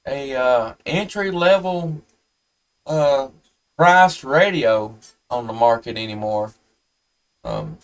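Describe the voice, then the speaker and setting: A man chatting casually and haltingly as an amateur radio operator. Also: on the high side, far from the mic. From United States. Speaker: amateur radio operator hosting a live stream.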